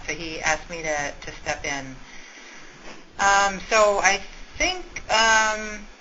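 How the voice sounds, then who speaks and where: A woman's voice: lowish voice, pushed and bright. A woman giving an informal, methodical step-by-step briefing over a conference call. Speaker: school meetings organizer briefing a conference call. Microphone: telephone. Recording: muffled conference phone line, faint noise.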